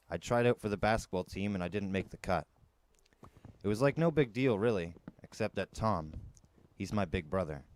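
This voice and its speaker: A man's voice: lowish voice, darkish tone, no echo. A man narrating a young adult novel as a storyteller, in a conversational first-person manner. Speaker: podcast host and storyteller.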